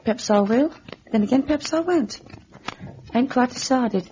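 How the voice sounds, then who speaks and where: A woman's voice: fairly high pitch, lively intonation, moderate pace, mellow, close to an everyday voice. An actress playing a brash, coy and defiant young working-class woman lodger in old-time radio drama style. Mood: coy, teasing. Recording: muffled, noisy old radio drama recording.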